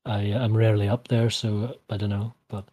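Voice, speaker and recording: A man's voice: fairly low pitch, little pitch movement, normal speed, dark tone, lo-fi sound. A man chatting casually on a podcast, often stumbling and repeating words. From Northern Ireland. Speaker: podcast co-host. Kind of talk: casual chat.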